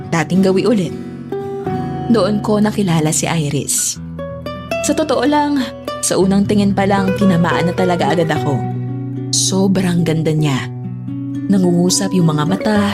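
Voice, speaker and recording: A woman's voice: medium pitch, close to an everyday voice. A woman narrating a scripted drama in the first person as a smug womanizer recounting past conquests. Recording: loud guitar music under voice.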